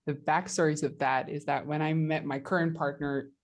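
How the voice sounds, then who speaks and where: A woman's voice: low voice, little pitch movement, normal speed. A woman hosting a podcast, speaking in an informal, personal, conversational way and thinking aloud as she goes. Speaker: podcast host. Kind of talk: conversational, personal interview talk.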